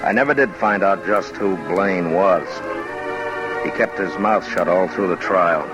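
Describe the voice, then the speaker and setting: An actor playing a tough, terse frontier marshal with a dry, commanding manner in an old radio western. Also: male voice, low voice, unhurried, lo-fi sound. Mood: grim, reflective. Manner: terse, commanding, dryly sardonic. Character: tough, stern frontier US marshal telling his own story. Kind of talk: 1950s radio western acting and narration. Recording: old radio broadcast with loud music behind.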